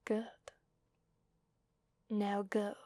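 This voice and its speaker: A woman from Italy playing a sadistic, playful female demon in a whispered ASMR roleplay, teasing and menacing in a soft, breathy voice. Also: mid-range voice, completely flat delivery, drawn-out words, slight background noise. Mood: gleeful contempt. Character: sadistic, playful female demon mistress who relishes blood.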